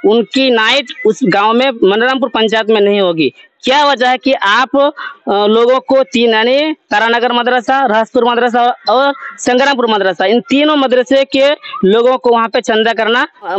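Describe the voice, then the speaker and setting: A man's voice: very high voice, normal speed, a bit bright, muffled recording. A man with a Jharkhand Hindi accent working as a local news reporter, putting long, probing questions to a madrasa panel in a field interview. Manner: probing, speaks at length with restarts.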